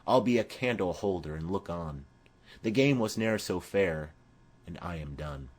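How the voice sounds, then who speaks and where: A man's voice: sits low, expressive, moderate pace, a little mellow. A man voicing a heavy-hearted, lovesick young man in a poetic, mournful Shakespeare audiobook reading. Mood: brooding.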